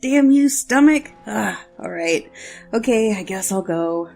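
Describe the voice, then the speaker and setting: A woman's voice: mid-range voice, animated, a little slow, gravelly, darkish tone, faint music behind. Earnest young prince, voiced by a woman. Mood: reluctant. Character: shy, helpful young prince, boyish and earnest. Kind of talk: audio drama dialogue.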